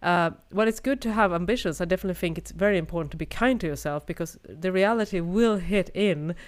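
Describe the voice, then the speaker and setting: A woman's voice: on the low side, fast. A woman co-hosting a podcast in reflective casual chat, speaking with a cold. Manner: reflective, thoughtful, many "you know"s. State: has a cold. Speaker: podcast co-host.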